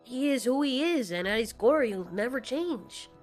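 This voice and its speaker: A woman's voice: on the high side, lively intonation, normal speed, faint music behind, close to an everyday voice. A woman voicing a cold male fire demon kaiju in an audio story, blunt, sardonic and slangy. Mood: dismissive.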